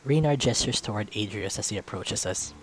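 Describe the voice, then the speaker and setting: A man's voice: on the low side, lively intonation, a little fast. A man reading visual novel narration aloud in a storytelling style. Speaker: visual novel narrator, let's-play reader.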